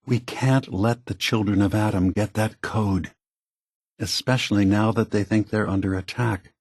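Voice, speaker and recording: A man playing a weary, peace-seeking synth leader in a video game, speaking gravely and deliberately with a husky voice. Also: lowish voice, fairly even intonation, darkish tone, no room sound, studio quality. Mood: grave, urgent. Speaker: game character. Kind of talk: scripted video game dialogue.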